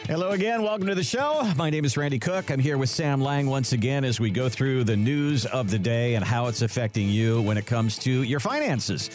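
A man's voice: medium pitch, brisk, few pauses, faint music behind. A man hosting a retirement radio show, friendly and chatty, with many small fillers. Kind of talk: radio finance talk.

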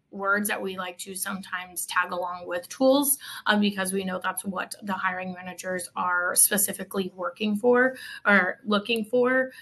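Bright-voiced woman, even-toned recruiter. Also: mid-range voice, gravelly. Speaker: recruiter and panel guest giving job-seeker advice. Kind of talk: unscripted advisory panel talk.

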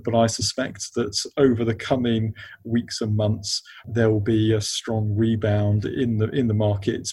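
The male expert guest on a finance podcast, cautious and halting, keeping an even tone as he thinks aloud. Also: on the low side. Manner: halting, repeats words as they search for phrasing. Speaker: interview guest, investment funds expert at a law firm. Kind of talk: unscripted business podcast interview.

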